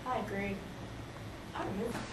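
A woman's voice: mid-range voice, fairly even intonation, normal speed, natural voice. A young teenage girl playing a weary doctor in an amateur school skit, with stiff scripted delivery. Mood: somber. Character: weary doctor lamenting radiation victims, woman. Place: small office meeting room indoors. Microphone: camera across the room. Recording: distant, noisy, echoey camcorder sound.

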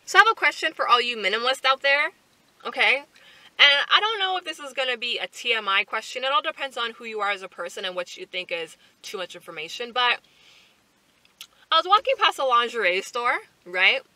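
A young woman talks straight to camera from her parked car, her voice bright and sharp with a slightly raised pitch. She chats loosely and animatedly, piling on fillers and asides. The sound is close and dry, with no room around it. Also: sing-song, fairly quick, no room sound, low-quality recording. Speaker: vlogger. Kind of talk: casual chat to camera. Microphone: camera on the dashboard.

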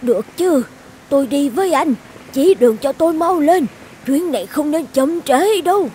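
Kindly old village midwife, high-voiced. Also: female voice, lively intonation, normal speed, dark tone, a little hiss or hum. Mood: anxious, astonished. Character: kind, selfless elderly village midwife and grandmother. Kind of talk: animated folktale dubbing.

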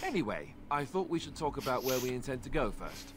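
Guy acting young fantasy game warrior, earnest but wry, medium pitch, ambient noise behind. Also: big pitch swings, a little fast, lightly acted. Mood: thoughtful. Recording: game audio with clear background ambience.

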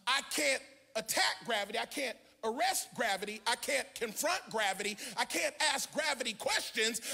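High-pitched, forceful American preacher man. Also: gravelly. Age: middle-aged. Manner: emphatic, theatrical, often shouting and half-singing. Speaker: pastor. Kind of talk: animated sermon to a congregation. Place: large church auditorium, on stage. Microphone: handheld microphone.

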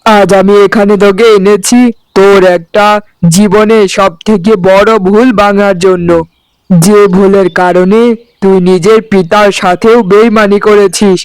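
An actor playing a dutiful, tender and hurt elder brother, speaking earnestly in a melodramatic cartoon story. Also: male voice, high-pitched, flat delivery, a little slow, smooth, no room sound, rough recording. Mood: hurt, resigned. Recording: music under the voice.

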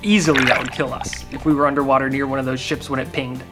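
A man's voice: medium pitch, flat delivery, fast talker, bright, forceful tone, soft background music. A man in his twenties with an American accent, hosting a science video in a casual, conversational way to camera. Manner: informal, conversational. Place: open-fronted garage workshop.

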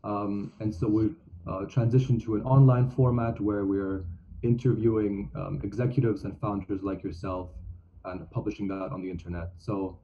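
A man's voice: lowish voice, little pitch movement, normal speed, a little hiss or hum. A young man interviewing a guest over a video call, polite and dotted with fillers. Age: young adult. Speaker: student interviewer. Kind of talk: interview questions. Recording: dull video-call audio.

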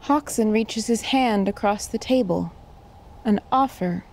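A woman's voice: medium pitch, unhurried, a little mellow. A woman reading scripted narration and stage directions for an audio drama.

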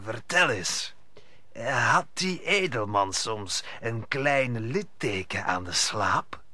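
A grave musketeer captain, speaking slowly and with authority. The voice is sharp and forceful, moving widely in pitch, in a radio play. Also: male voice, animated, no echo, full character voice. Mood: formal, probing. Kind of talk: audio drama acting.

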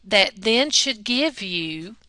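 Patient female math tutor, slow and deliberate, bright tone, dry close voice-over. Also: mid-range voice, lively intonation, no echo. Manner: patient, step-by-step teacherly delivery. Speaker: math lab technician narrating a lesson. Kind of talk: slow, deliberate classroom explanation over slides.

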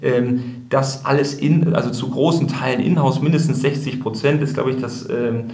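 A man's voice: mid-range voice, steady tone, normal speed, a little mellow. A man speaking as the guest in a podcast interview, explaining things thoughtfully and restarting sentences often. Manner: thoughtful, many fillers and restarts. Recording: roomy echo.

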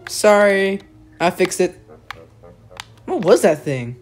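Young woman, excitable, lively swinging pitch, repetitive improvised game roleplay chatter, faint music behind. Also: takes it slowly. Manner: excitable, repeats phrases. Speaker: gaming video player. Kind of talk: improvised Minecraft roleplay chat.

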